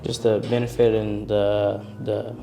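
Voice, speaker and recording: A man's voice: on the low side, flat delivery, a little slow, vocal fry, warm tone, faint music behind. A man from Indiana, an instructional assistant, answering in a hesitant, casual way and often saying "kinda".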